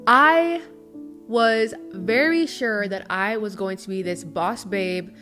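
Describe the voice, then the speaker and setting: A woman's voice: medium pitch, slow pace, sharp tone. A woman in her early twenties, talking to camera in a chatty, earnest vlog, often saying "you know". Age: early 20s. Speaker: lifestyle vlogger. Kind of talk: casual confessional talk to camera. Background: guitar music underneath.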